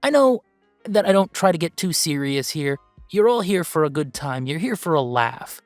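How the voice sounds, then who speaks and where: A man playing an upbeat, earnest video game streamer who chats casually and haltingly in a livestream roleplay. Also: a little high, very animated, no echo, faint music behind. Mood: grateful, earnest. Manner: halting, rambling, sighing, earnest.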